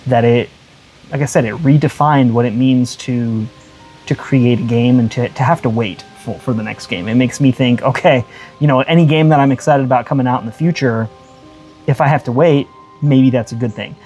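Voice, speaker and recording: Man, medium pitch, game review co-host, conversational, earnest, emphatic, soft background music. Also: normal speed.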